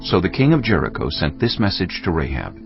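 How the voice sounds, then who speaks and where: Deep, gravelly male Bible narrator. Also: very animated, brisk. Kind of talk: scripted dramatic Bible narration. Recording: slightly dull audio over music. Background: music.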